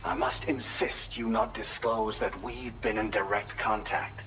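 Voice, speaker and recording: An actor playing a formal, cerebral male contact who gives secretive counsel in a measured, expository way in a video game cutscene. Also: lowish voice, fairly even intonation, background noise, lightly acted. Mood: grave, measured.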